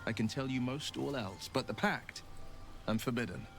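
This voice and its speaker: An actor playing a proud, wronged warlock adventurer, earnest and noble, with a heartfelt dramatic delivery. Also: male voice, medium pitch, normal speed, vocal fry, fairly bright tone, faint music behind. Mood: earnest, resolute.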